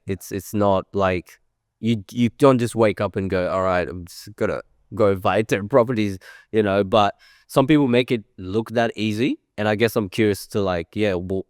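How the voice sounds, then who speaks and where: A man's voice: on the low side, normal speed, dry recording, clean studio sound. A man in his thirties whose first language is French, talking as an interview guest in a chatty, rambling way with many fillers. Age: 30s. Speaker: guest, creative director. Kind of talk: casual interview.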